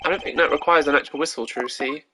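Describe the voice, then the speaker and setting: A woman voicing a dry, sceptical young male lawyer from a video game in a deadpan manner. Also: low-pitched, fast, a little hiss or hum.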